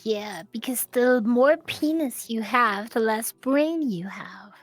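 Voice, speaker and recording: High-voiced woman, teasing banter, drinking, dry mic. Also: expressive, slow pace, dry recording, faint music behind. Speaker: streamer guest. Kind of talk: drunk casual chat.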